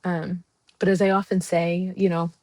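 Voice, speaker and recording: A woman's voice: lowish voice, flat delivery, moderate pace, a little mellow, dry recording. A woman from the United States speaking as a guest in a podcast interview. She talks earnestly and passionately, with frequent ums and restarts. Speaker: guest, democracy advocate.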